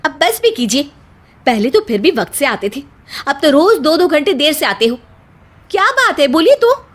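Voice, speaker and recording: Woman acting ambitious young wife, high pitch, insistent, exclamatory, nagging, family drama story. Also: big pitch swings, moderate pace. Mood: impatient, nagging.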